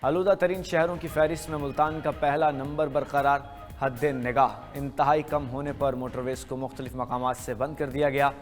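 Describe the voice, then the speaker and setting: A man's voice: medium pitch, little pitch movement, normal speed. A man with a Pakistani accent reading scripted news headlines as an anchor. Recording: long reverb with music underneath. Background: music bed.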